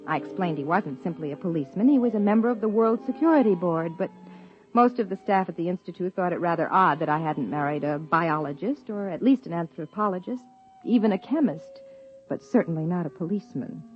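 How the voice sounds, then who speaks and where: An actress playing an earnest, persuasive scientist wife who also narrates, in 1950s radio drama style. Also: female voice, lowish voice, lively intonation, fairly quick, faint music behind, close to an everyday voice. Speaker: narrator and lead character. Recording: dull 1950s radio broadcast.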